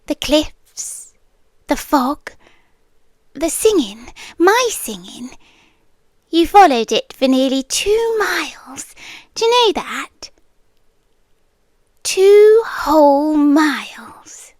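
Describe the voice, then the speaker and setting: A woman's voice: high-pitched, big pitch swings, a little mellow, no echo, exaggerated voice. A British woman voicing an ancient, possessive siren captor, sweetly menacing, in an intimate roleplay ASMR monologue that often drops toward a whisper. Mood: dreamy, possessive. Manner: slow, coaxing, often dropping into whispers.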